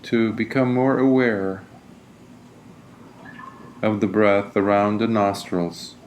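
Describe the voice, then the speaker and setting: A man leading a guided meditation as a teacher, speaking in a gentle, deliberate, soothing manner. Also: fairly low pitch, fairly even intonation, a little hiss or hum.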